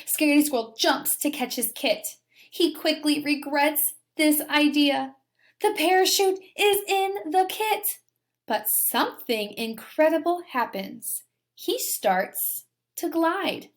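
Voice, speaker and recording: A woman's voice: high-pitched, slow. A woman teacher reading a children's story aloud with dramatic emphasis and talking directly to her young pupils. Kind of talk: expressive children's story read-aloud and lesson.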